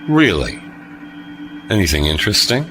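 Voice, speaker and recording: Wry retired captain played by a man, lower-pitched. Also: big pitch swings, normal speed, music in the background. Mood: guarded, wry. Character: retired male starship captain, wry and measured. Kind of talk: audio drama acting.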